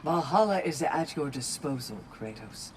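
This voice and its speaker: Composed, expressive Valkyrie warrior woman. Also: low voice, moderate pace, noisy background. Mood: measured, informative. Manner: composed, articulate, formal. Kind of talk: video game cinematic acting. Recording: game music behind the voice.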